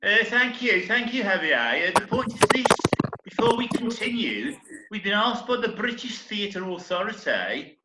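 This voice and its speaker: A man's voice: sits high, sing-song, normal speed, heavy background noise. A man playing an earnest, slightly flustered theatre troupe leader addressing the audience in a comic play reading. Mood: awkward, formal. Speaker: amateur actor in a remote group reading. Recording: echoey, dull internet call recording.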